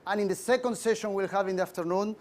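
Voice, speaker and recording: A middle-aged man from Argentina with an Argentine Spanish accent, a native Spanish speaker, giving an emphatic leadership lecture with frequent "uh" pauses. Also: high-pitched. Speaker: guest speaker. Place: lecture room.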